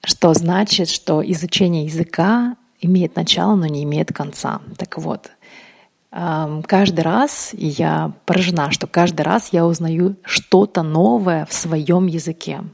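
A woman in her thirties from Uzbekistan, hosting a casual podcast monologue, thoughtful and often pausing with ums to correct herself. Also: fairly low pitch, vocal fry, a little mellow, breathy edge. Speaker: podcast host.

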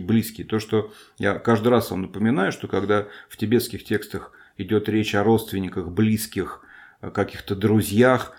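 Low-voiced man lecturing, thinking aloud. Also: a little mellow. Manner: thinking aloud, many fillers and restarts, self-deprecating. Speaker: podcast host teaching a Buddhist text. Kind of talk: informal spoken lecture.

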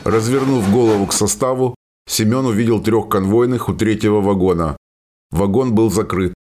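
Guy narrating a war story for radio drama, lowish voice, scripted read. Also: moderate pace, darkish tone, slight background noise.

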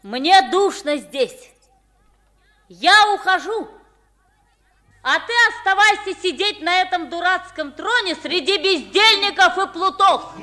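A woman's voice: extremely high pitch, slow, sharp tone, exaggerated voice. A woman playing a bold, plain-spoken pauper boy in an old radio play, frank and cheeky. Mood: defiant. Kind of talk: Soviet radio play acting.

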